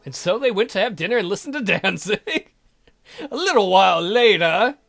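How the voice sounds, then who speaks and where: Animated, bright-voiced man doing game commentary. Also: high-pitched, normal speed, creaky, faint music behind. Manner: jokey, irreverent. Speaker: let's play commentator. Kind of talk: playful game commentary reading dialogue aloud.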